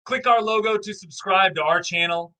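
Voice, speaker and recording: A man's voice: high voice, normal speed, bright, forceful tone. A man delivering a promotional outro for an online video channel.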